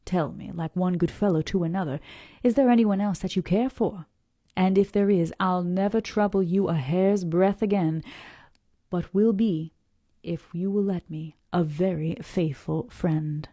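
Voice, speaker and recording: A woman's voice: fairly low pitch, measured pace, dark tone, dry recording, studio quality. A woman reading as an earnest cowboy suitor. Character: earnest gallant young male cowboy suitor.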